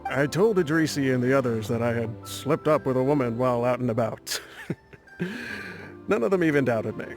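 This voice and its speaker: A man's voice: medium pitch, expressive, normal speed, a little mellow, faint music behind, lightly acted. An actor playing an ailing, aging desert warband leader in a game, chuckling and boastful as he rambles. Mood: wry, chuckling. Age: older.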